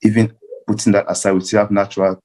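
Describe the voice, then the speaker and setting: A man speaks as an invited panelist in a low, dark-toned voice. His delivery is earnest and deliberate, and he often rephrases a point to stress it. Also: flat delivery. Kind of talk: earnest unscripted panel speech.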